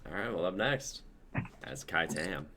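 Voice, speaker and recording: A man's voice: low-pitched, expressive, measured pace, bright, forceful tone, a little hiss or hum. A man in his twenties chatting casually among fellow script readers on a stream. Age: 20s. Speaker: participant in a script-reading stream. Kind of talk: casual chat.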